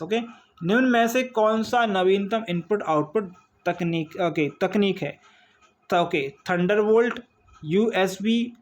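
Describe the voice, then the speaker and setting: High-pitched Indian man teaching. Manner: repetitive, checks in with listeners using questions and frequent tags. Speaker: online exam-prep teacher. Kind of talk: informal lesson reading out and explaining quiz questions.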